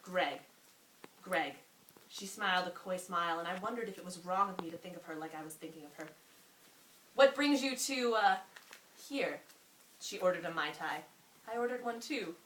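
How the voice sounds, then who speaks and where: A young woman reads a story aloud with a very lively, swinging pitch. Her manner is amused and informal, as if for a friend. The sound is roomy and distant from the mic, with a little noise. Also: moderate pace, long pauses. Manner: giggly, expressive, breaks off for asides. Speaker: reader.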